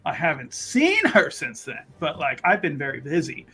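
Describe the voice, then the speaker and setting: A woman's voice: sits low, sing-song, normal speed, a bit bright, breathy edge. A woman playing a tabletop roleplaying game, casually describing what her character does as she improvises.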